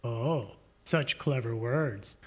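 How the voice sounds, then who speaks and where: Guy doing a smug needling bully type in a radio play, mid-pitched, theatrical jabs. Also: slow pace, highs cut off. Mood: taunting. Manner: taunting, theatrical. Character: smug, needling man who goads his partner.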